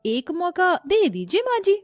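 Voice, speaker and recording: A woman's voice: very high-pitched, wildly swooping pitch, measured pace, no room sound, soft background music, pitched above the performer's own voice, full character voice. A woman voicing a bossy, taunting young sister-in-law in a cartoon story, with a mocking, commanding way of talking.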